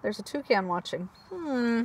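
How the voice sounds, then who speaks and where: High female voice, very animated, preschool teacher, picture book read-aloud, expressive storytelling. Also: normal speed, slightly rough.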